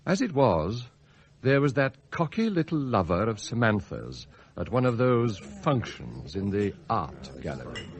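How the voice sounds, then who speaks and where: Formal butler, very expressive pitch. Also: male voice, measured pace. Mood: bitter. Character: immaculate butler, quietly bitter and murderously scheming. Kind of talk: radio drama narration and dialogue.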